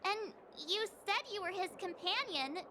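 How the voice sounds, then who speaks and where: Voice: extremely high pitch, unhurried, noisy background. A woman voicing a small, childlike creature in a video game, blunt, teasing and full of questions. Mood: puzzled. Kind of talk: video game story dialogue. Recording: music behind the voice. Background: game music.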